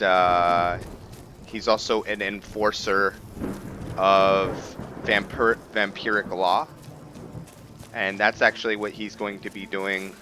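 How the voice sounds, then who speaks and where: A man with a low, bright voice talks through his roleplay game at an unhurried pace. He thinks aloud in a casual way, hesitating often, with faint music behind him. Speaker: gaming video host. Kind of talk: casual roleplay gameplay commentary.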